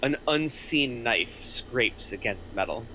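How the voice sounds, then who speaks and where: Bright-voiced man narrating a screenplay. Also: mid-range voice, lively intonation, takes it slowly, a little hiss or hum, low-quality recording. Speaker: narrator reading stage directions. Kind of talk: scripted narration at a table reading.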